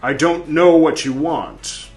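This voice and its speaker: A man reading as an old male prophet who pleads for the dead in a measured, lamenting way. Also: a little high, fairly even intonation, a little mellow, faint music behind, pitched above the performer's own voice.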